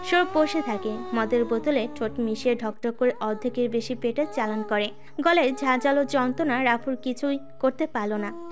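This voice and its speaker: A woman reading a scripted dramatic audio story as its narrator. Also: sits high. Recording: music under the voice. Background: music.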